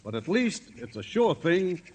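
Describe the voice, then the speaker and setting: A pompous male banker from a 1950s radio play. His voice sits a little high, and he is domineering and decisive, speaking like the man in charge. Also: sing-song, measured pace, slightly rough, heavily acted. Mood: impatient. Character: bossy, pompous male banker civic leader.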